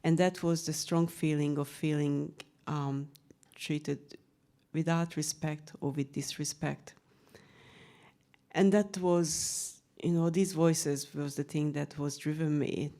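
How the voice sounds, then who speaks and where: A middle-aged woman with a Hungarian accent, giving a measured, earnest talk as an author presenting her research to a panel audience. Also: low voice, fairly even intonation, dark tone. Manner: measured, earnest delivery with occasional fillers.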